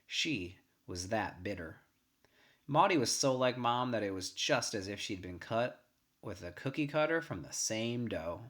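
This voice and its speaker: A man with a medium-pitched voice reads a first-person short story aloud as scripted narration. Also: lively intonation, a little slow, a bit bright. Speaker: story reader.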